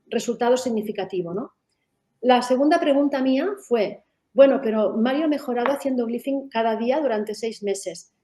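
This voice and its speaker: Middle-aged woman giving a webinar talk, kinda high pitch, warm mellow tone, lots of "no?" tag questions. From Catalonia. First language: Catalan. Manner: explanatory, with frequent tag questions and restarts. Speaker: method founder presenting in a webinar. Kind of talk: webinar presentation.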